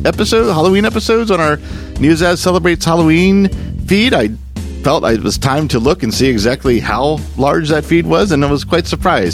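A man hosting a podcast, talking casually and partly off the cuff. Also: on the high side. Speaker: podcast host. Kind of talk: casual podcast sign-off, semi-improvised. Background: music.

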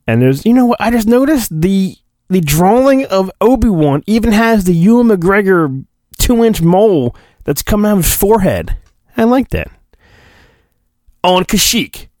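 A man's voice: high-pitched, dry recording, clean studio sound. A man hosting a podcast, giving a hammy, irreverent storybook reading with joking asides. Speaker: podcast host.

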